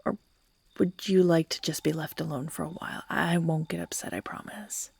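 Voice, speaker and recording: A woman playing an obsessive, unhinged yandere who is tender and menacing by turns, speaking in an intimate, halting, breathy ASMR roleplay style that often drops into whispers. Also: low voice, darkish tone, no echo, heavily acted. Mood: tender, coaxing. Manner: slow, halting, cooing, broken by giggles and sighs.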